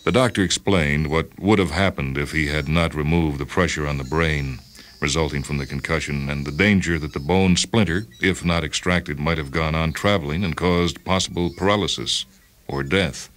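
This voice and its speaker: A man's voice: extremely low pitch, normal speed, a bit bright. A man reading a short story as a scripted narration.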